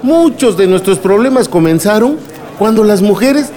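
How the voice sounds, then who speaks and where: Actor as high-voiced, scornful village man. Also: expressive, normal speed, mellow. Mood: stern. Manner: scornful, condescending. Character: dismissive, domineering village man at assembly. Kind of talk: radio drama acting.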